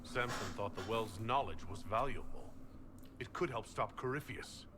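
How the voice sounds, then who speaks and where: An actor playing an earnest adult companion to the hero in a fantasy video game. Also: male voice, lowish voice, moderate pace, background noise, close to an everyday voice.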